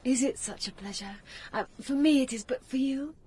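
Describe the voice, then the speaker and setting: An actress playing an honest, spirited young woman in a radio drama, breathy and unhurried. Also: high voice, darkish tone. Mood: delighted. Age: young adult. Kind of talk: radio drama acting, partly narrating.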